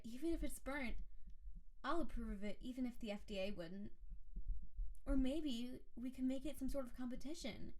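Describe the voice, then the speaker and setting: A doting yandere wife, a snow spirit acted by a woman, speaks in a fairly high feminine voice. She addresses her beloved directly in an intimate roleplay style, sweet and gushing, with a clingy, obsessive devotion. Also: dark tone, lightly acted, poor audio.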